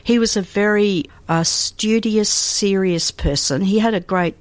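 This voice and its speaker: An older Australian woman from Melbourne, a radio guest telling reflective stories about her life with pauses and restarts. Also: mid-range voice, no room sound. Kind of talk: conversational storytelling.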